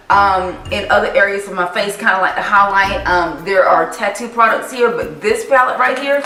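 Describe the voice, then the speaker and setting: A woman from the Carolinas talking through her favorite makeup products in a chatty, animated vlog. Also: medium pitch, distant mic. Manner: chatty, animated, sassy asides. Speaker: makeup vlogger. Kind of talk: casual product review vlog. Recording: echoey room, voice off-mic, faint music.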